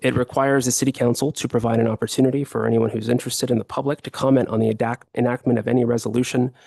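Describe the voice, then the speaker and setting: A hoarse man serving as city attorney, explaining a staff report at a council meeting with frequent fillers and restarts. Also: mid-range voice, little pitch movement, rapid-fire, darkish tone, no room sound.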